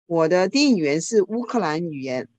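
A woman interpreting a speaker's talk into another language live, quickly and informally, often correcting herself. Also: lowish voice, big pitch swings, darkish tone.

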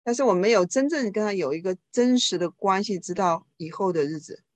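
A woman interpreting a speaker's talk into another language live, quickly and informally, often correcting herself. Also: medium pitch, expressive.

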